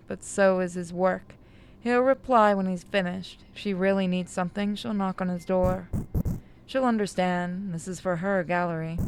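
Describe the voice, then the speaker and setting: A woman's voice: on the low side, vocal fry, a little hiss or hum, rough recording. A woman reading a horror visual novel aloud as a scripted narration, with casual asides.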